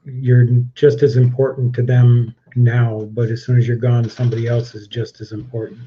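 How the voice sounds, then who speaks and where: A man in his fifties talks in a flat, steady, mid-pitched voice on a casual livestream. He pauses and restarts with ums. The audio is dull and lo-fi. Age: 50s. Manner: even, measured, restarts with ums. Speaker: co-host of a livestream. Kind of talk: casual question-game chat.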